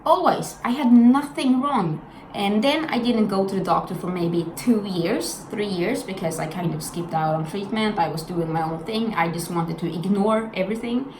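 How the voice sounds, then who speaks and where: A woman's voice: mid-range voice, normal speed, a little mellow. A young woman from Sweden with a Swedish accent, giving candid, blunt personal commentary in a vlog. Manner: frank, blunt, earnest. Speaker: vlogger. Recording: echoey room with faint noise.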